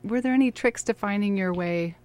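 A woman hosting a conversational interview, welcoming and curious, putting clearly phrased questions to her guest. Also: mid-range voice, fairly quick, darkish tone. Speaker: interviewer, host.

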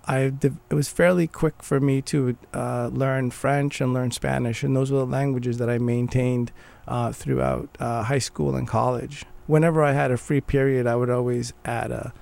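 Gravelly man in earnest conversation. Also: mid-range voice, fairly even intonation, normal speed, a little mellow. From Morocco. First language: Arabic. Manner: earnest, restarts phrases while thinking. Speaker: guest. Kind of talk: conversational interview.

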